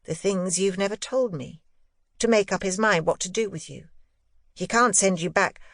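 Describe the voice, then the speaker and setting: A woman's voice: mid-range voice, normal speed, no echo, natural voice. An anxious governess, played by a woman narrator, speaking in a dry, close recording. She is earnest and probing yet tender, as in a classic ghost story audiobook. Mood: pressing.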